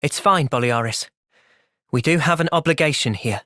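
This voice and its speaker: A man with an English accent playing an earnest, thoughtful young soldier hero in a video game, speaking sincerely and with measured delivery. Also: on the high side, pushed and bright, no echo, studio quality. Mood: calm, earnest. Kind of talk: video game cutscene voice acting.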